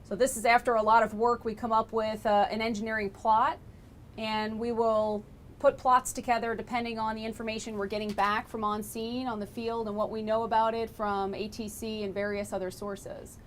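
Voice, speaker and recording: A woman's voice: flat delivery, moderate pace. Woman giving technical briefing in noisy room. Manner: explanatory, conversational. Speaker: lab engineer presenting flight recorder analysis. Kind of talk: informal technical briefing with questions. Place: laboratory tour, indoors. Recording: noisy room with low rumble.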